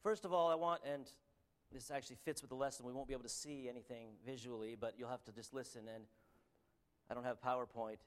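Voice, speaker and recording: A man with a Canadian accent delivers a church sermon in a mid-range voice. His delivery is earnest and halting, full of ums and restarts. He reads scripture aloud between plain, friendly remarks. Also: medium pitch, steady tone. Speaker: preacher.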